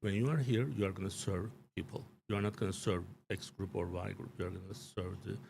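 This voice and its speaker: Male studio guest: low, gravelly, emphatic, loose with fillers; slight background hiss. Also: steady tone, brisk, a little mellow. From Turkey. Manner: emphatic, rambling, frequent fillers and restarts. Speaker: show guest commentator. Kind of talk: live studio political talk. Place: small studio.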